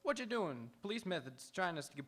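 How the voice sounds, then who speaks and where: Animated, bright-voiced, protective young hothead played by a man for a radio crime play, agitated and emphatic. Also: high voice, fairly quick, exaggerated voice. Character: hot-headed, protective young man in trouble. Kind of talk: old-time radio crime drama acting. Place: auditorium stage, live radio theatre.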